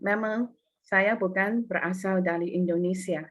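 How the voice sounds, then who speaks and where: Hong kong lady sharing her testimony at church, earnest, pauses and restarts a lot. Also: mid-range voice, fairly even intonation. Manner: earnest, with hesitations and non-native phrasing. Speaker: testimony speaker.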